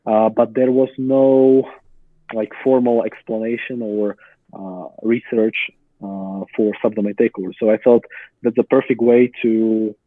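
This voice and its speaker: A man's voice: lowish voice, steady tone, unhurried, no echo. A young man from Slovakia with a Slovak accent, a guest explaining his research in casual chat, thoughtful with many fillers. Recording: tinny phone-like call audio.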